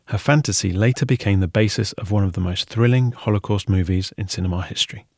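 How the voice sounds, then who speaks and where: A man reads scripted documentary narration as a podcast host. His voice is fairly low and slightly raspy, captured close and dry with no room sound. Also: quick pace, a little mellow, no room sound.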